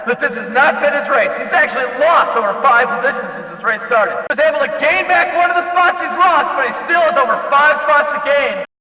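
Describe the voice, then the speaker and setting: A man playing a brash, jokey, excitable stock-car race announcer in a video game, with folksy, punchy calls. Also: extremely high pitch, fairly quick, hardly any pauses. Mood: excited, jokey. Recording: echoey hall PA, distant, slightly dull.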